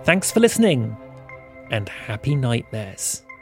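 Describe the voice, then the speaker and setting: A man's voice: medium pitch, sing-song, unhurried. A man reading scripted end credits and announcements for a fiction podcast. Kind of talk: scripted narration. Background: loud music.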